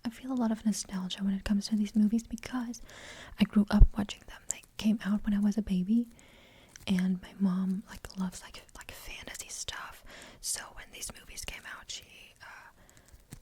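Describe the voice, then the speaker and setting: A woman's voice: medium pitch, fairly even intonation, normal speed, dark tone, slightly rough. A young woman from Germany with a light German accent, whispering a rambling, hesitant ASMR question-and-answer chat. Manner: rambling, hesitant, many fillers. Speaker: ASMR creator.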